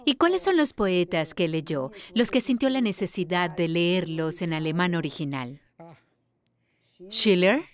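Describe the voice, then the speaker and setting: A man's voice: high-pitched, sing-song, a little slow. A male actor dubbing an attentive, well-read interviewer with an inquisitive, conversational delivery. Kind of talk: voice-over dub of a conversational interview.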